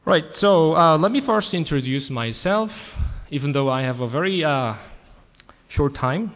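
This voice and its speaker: A man's voice: a little high, animated, a little slow, fairly bright tone. A middle-aged man, a native Korean speaker with a Korean accent, gives an informal conference presentation as a professor, telling anecdotes with light self-deprecating humor. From South Korea. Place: large conference hall, amplified talk. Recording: echoey hall with long reverb.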